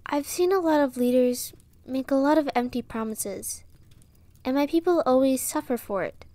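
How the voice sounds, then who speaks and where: Woman playing a high-voiced, earnest young peasant girl. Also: moderate pace, dark tone, no room sound, lightly acted. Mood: hopeful pleading. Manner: earnest, pleading. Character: earnest young commoner girl, pleading and brave peacemaker. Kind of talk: fantasy audio roleplay acting.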